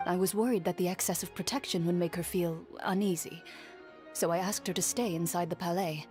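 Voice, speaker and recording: Calm, lowish-voiced caring woman played by an actress. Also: a little fast, close to an everyday voice. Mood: reassuring. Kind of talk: video game voice acting. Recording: background music under game dialogue.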